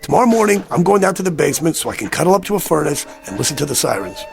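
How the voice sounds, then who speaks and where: A man's voice: fairly high pitch, very animated, very fast, creaky, faint music behind. An actor playing an agitated, desperate American man in a radio drama, pleading insistently in streetwise talk. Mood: urgent, insistent. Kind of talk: vintage radio drama acting.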